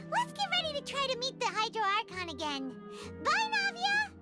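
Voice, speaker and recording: A woman voicing a small, chirpy, easily spooked fairy companion in a video game, with a squeaky childlike voice and an exclamatory way of talking. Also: huge pitch swings, measured pace, full character voice. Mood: cheerful. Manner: refers to self in third person, exclamatory. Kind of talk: animated video game voice acting. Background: music.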